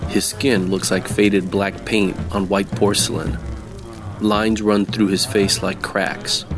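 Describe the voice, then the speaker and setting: A man with a low voice narrates a noir audio drama. He tells it in the manner of old-time radio mysteries, with music clearly playing behind him. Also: level tone, slow pace.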